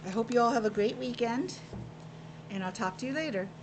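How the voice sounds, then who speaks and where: A woman teacher reading and explaining a storybook to young children in an expressive, child-directed way. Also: a little high, fairly quick, background noise. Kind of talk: storybook narration with simple explanations.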